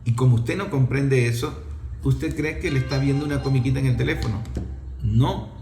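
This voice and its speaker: A man's voice: medium pitch, normal speed, mellow, roomy sound. A middle-aged man with a Venezuelan accent giving an impassioned opinion talk in an emphatic, scolding way, full of rhetorical questions.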